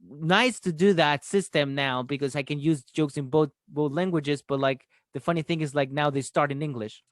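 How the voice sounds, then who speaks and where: Hesitant man, slightly high voice. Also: no room sound, studio quality. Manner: hesitant, with "uh" and "um" pauses. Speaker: comedian guest. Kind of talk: casual podcast chat.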